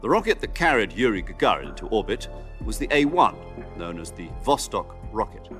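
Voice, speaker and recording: An actor playing a seasoned, stern military commander who gives measured, authoritative briefings in a cinematic video game. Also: male voice, lowish voice, big pitch swings, fairly bright tone, faint music behind.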